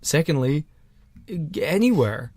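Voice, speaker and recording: Deadpan joking man, podcast co-host. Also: on the high side, unhurried, dry recording. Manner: deadpan absurd jokes. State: congested from a cold. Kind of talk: casual comedic chat.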